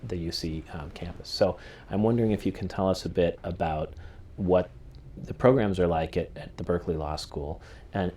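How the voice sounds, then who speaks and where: Deep-voiced male interviewer. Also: mellow, slight background noise. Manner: conversational, anecdotal questions. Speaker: interviewer, host. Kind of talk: interview conversation.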